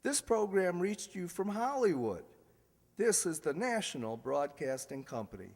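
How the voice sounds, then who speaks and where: Male radio-show announcer, high voice, playful ad-libber, reverberant hall recording. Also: very animated, measured pace, darkish tone. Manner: jokey, ad-libbing. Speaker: announcer and host. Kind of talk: live old-time radio show announcing. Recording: echoey hall with background hiss.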